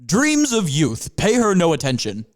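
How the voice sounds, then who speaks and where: A man's voice: on the high side, wildly swooping pitch, measured pace. A man voicing a pompous panther professor who lectures his students in grandiose, stretched-out phrases. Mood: lecturing. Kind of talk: amateur audio drama.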